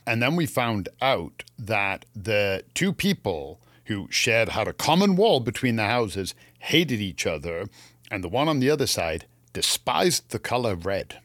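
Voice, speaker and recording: A man with a Canadian accent chatting casually as co-host of a gardening show, telling anecdotes in an animated way. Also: fairly low pitch, a little slow, no room sound.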